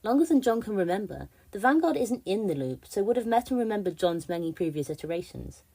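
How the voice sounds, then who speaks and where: A woman's voice: mid-range voice, sing-song, quick pace, dark tone. A woman delivering prepared fan commentary as a podcast contributor.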